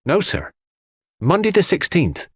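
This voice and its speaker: A nervous, respectful young man, put on by a male narrator. He answers briefly and deferentially, in a slightly high pitch. It is audiobook dialogue with a dry sound. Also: wildly swooping pitch, unhurried, breathy edge, no echo, heavily acted. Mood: earnest, nervous.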